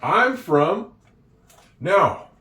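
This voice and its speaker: Slow, lively-voiced man teaching handwriting. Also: high voice, recorded from a distance. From Oregon, USA. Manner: chatty, encouraging, talks to the viewer. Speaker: handwriting and English tutor for a child. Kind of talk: slow, patient writing lesson. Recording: echoey room, voice away from the mic.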